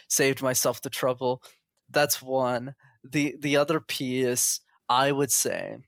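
A man's voice: medium pitch, gravelly, dry recording, clean studio sound. A man telling his story as an interview guest in a candid, chatty, casual way. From Montreal, Canada.

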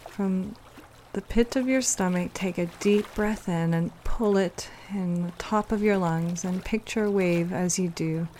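Very slow-paced woman guiding meditation. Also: fairly low pitch, warm tone, slight background noise. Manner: soothing, unhurried instruction with pauses. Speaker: meditation guide. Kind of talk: guided meditation.

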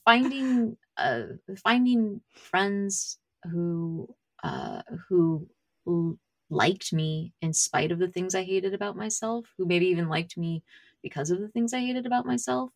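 A woman's voice: lowish voice, sing-song, unhurried, gravelly, no room sound. A woman guest on a podcast, speaking hesitantly with many ums and small laughs. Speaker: guest artist. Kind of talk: candid interview chat.

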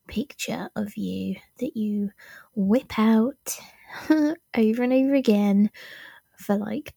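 A woman hosting her own podcast, talking casually and self-deprecatingly, with plenty of ums, while her voice has gone a little deep and husky. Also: warm tone, no room sound, studio quality. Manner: self-deprecating, many ums, laughs at herself. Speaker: podcast host. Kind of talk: casual solo podcast talk.

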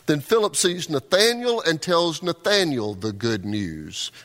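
Man, pastor, conversational sermon, slow unhurried delivery, lively rising and falling pitch, long hall reverb. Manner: conversational, repeats words as he restarts. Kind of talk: sermon with scripture reading.

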